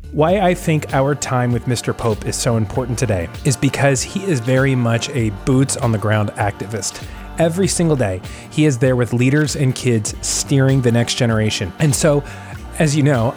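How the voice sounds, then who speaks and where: A man's voice: mid-range voice, a little mellow. A man hosting an interview podcast, reading an earnest introduction and then chatting with his guest. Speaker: podcast host.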